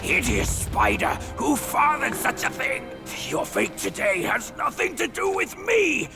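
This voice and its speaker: Game casting: a high, gravelly, mocking demon who shouts abuse, slow and sneering, over loud score. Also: male voice, wildly swooping pitch, heavily acted. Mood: furious. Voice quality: shrill and scornful. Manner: shouting insults. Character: shrill mocking male demon, scornful and loud. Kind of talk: video game cutscene acting. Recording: game audio with loud music.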